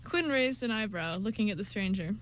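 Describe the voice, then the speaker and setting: A woman's voice: mid-range voice, lively intonation, brisk, a little hiss or hum, poor audio. A woman reading scripted narration for an amateur radio play, with small stumbles.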